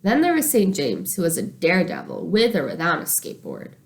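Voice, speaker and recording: A woman reading a children's book aloud like a teacher, expressive and animated, acting out the dialogue. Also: lowish voice, a little fast.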